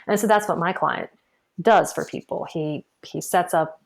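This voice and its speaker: A woman's voice: lowish voice, moderate pace, vocal fry. A woman guest on a podcast, talking casually about her marketing work, chatty, laughing often and restarting her phrases. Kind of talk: casual interview conversation. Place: podcast recording.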